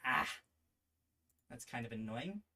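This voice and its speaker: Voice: mid-range voice, animated, takes it slowly, sharp tone. A streamer chatting casually and muttering to themselves during a livestream. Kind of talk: casual livestream chat, reading a visual novel aloud. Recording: music in the background. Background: music.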